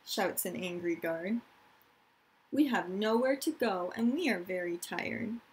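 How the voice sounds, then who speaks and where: A woman teacher reading a picture book aloud to children in an expressive, deliberate storytelling manner, with explanatory asides. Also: mid-range voice, low-quality recording. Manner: dramatic, deliberate storytelling delivery, addressing children directly.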